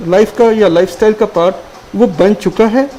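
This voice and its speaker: A man on a phone-in panel explaining medical precautions calmly, mixing terms his speech. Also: high-pitched, moderate pace, slight background noise, rough recording. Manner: calm, instructive, repeats key advice. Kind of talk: explanatory advice on a live call-in show.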